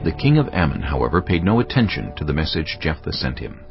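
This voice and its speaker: Very deep, gravelly male scripture narrator. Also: big pitch swings, quick pace, highs cut off, music in the background.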